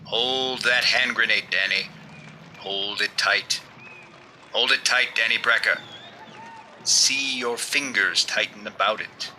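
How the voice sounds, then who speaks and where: Man acting a mysterious avenger, very sharp forceful voice, slow deliberate pacing, commanding, ominous, solemn, old-time radio thriller. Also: fairly low pitch, a little hiss or hum, exaggerated voice, low-quality recording. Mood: commanding, hypnotic. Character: commanding, ominous mysterious male crime-fighter. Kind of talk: 1930s radio drama acting.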